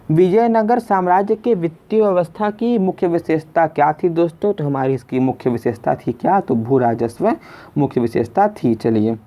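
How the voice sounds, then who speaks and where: A man's voice: on the high side, warm tone. A man hosting an exam lesson in a question-and-answer style, addressing listeners as friends and repeating his questions. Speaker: quiz teacher and video host. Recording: dull lo-fi voice recording.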